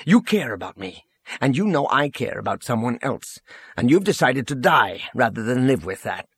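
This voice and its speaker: Man playing stern general, husky, blunt, intense and pressing, animated pitch, audiobook character. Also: moderate pace, no room sound. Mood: stern, pleading. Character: earnest, stern male military general, blunt and morally indignant.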